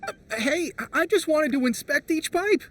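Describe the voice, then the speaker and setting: A jittery little forest creature. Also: male voice, extremely high pitch, lively intonation, normal speed, fairly bright tone. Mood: flustered. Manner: eager, stammering, defensive. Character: fussy small male forest creature, thorough and excitable. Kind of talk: game cutscene dub. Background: music.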